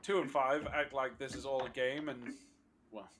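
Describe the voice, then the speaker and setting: A man's voice: medium pitch, fairly even intonation, normal speed, lightly acted. Man playing a callous resistance fighter, noisy recording. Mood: scornful. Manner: dismissive, curt. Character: hardened, callous male resistance fighter, cynical and ruthless. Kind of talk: audio drama acting.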